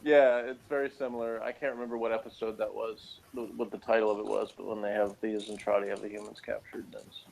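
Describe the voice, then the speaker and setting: A man's voice: lowish voice, little pitch movement, fast talker, gravelly, hardly any pauses, a little mellow, slight background noise, low-quality recording. A man chatting informally as co-host of a review, tossing in loose asides. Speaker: co-host of a sci-fi TV episode review. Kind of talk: casual chat and commentary.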